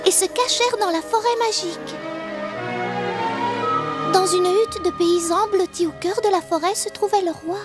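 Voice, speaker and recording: Earnest, very high-pitched little girl. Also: moderate pace, pauses often. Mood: hushed storytelling. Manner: earnest, childlike. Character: earnest, imaginative young girl, devoted to her father. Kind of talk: dubbed animated drama acting. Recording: voice over clear background music.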